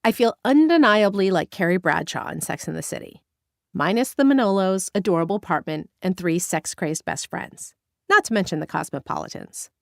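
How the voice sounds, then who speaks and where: A woman from Northern California narrating her own memoir as an audiobook, candid and expressive, on a close studio mic. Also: lowish voice, moderate pace, vocal fry, no echo. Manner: expressive, candid first-person storytelling.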